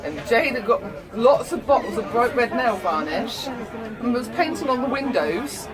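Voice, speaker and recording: Casting: middle-aged mum, animated casual anecdote-teller who acts out dialogue, recorded amid clear background chatter indoors. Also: female voice, a little high, measured pace, music in the background. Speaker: mother telling family stories.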